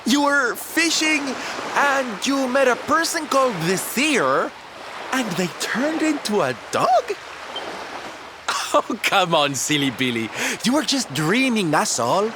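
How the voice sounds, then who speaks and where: Theatrical, doting game companion, teasing, lively swooping intonation, very slow, noisy ambience. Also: male voice, very high-pitched, exaggerated voice. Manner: theatrical, coddling endearments. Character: flamboyant, affectionate, teasing adult companion. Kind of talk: scripted game cutscene acting. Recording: water and ambience noise behind.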